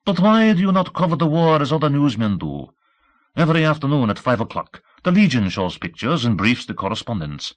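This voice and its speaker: A man's voice: medium pitch, very animated, a little fast, no room sound, voice pushed up, close to an everyday voice. Man putting on a pompous French hotel concierge. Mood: persuasive. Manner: pompous, florid, formal. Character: immense dignified French hotel concierge, dispassionate, flattering, sly.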